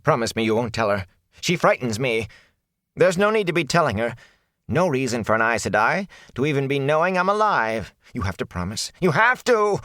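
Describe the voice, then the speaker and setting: A man acts out a ragged, sly peddler from a fantasy audiobook. He rasps and whines in rustic turns of phrase, fearful yet boastful, with pitch that rises and swings about. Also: big pitch swings, unhurried, pushed and bright, dry recording, studio quality, heavily acted. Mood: pleading, wary. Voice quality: raspy, sometimes dropping to a hoarse whisper. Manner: whining, indignant, folksy rustic phrasing.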